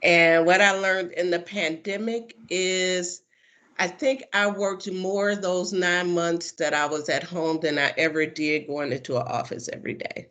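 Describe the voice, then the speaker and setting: Slow, deliberate woman training coordinator. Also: fairly low pitch, steady tone, a bit bright. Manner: slow and deliberate, occasional laughs. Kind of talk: casual self-introduction on a video call. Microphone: video call.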